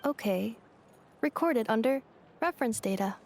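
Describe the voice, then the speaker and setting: A woman's voice: on the high side, lively intonation, normal speed, a little mellow, a little hiss or hum. A woman voicing an earnest, curious robot girl with memory loss, speaking in stilted, literal phrases for a video game. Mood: earnest, matter-of-fact.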